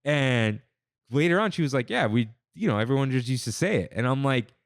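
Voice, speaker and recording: A man's voice: mid-range voice, fairly quick, no echo, clean studio sound. A young man who grew up speaking Armenian, chatting casually as a podcast co-host and telling wedding stories with quoted voices. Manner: animated storyteller, quotes people in voices. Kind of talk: casual chat.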